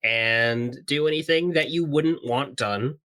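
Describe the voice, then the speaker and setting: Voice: medium pitch, a little slow, fairly bright tone. A podcast player in casual banter, making joking remarks with a straight-faced delivery. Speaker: player on an actual-play podcast.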